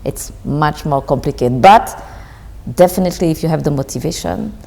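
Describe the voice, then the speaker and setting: Gravelly low-voiced woman CEO. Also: a little mellow, in a large hall. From Belgium, Rwanda and DR Congo. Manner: thoughtful, halting, with frequent restarts and uh's. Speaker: CEO of a solar company, guest. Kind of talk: conversational interview answers.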